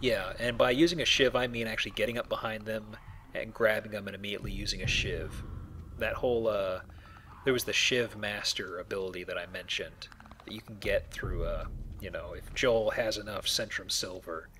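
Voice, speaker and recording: A man's voice: on the low side, fairly even intonation, moderate pace, bright, forceful tone, slight background noise. A man giving casual, rambling, explanatory commentary while playing a video game. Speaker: let's play host, playing the game.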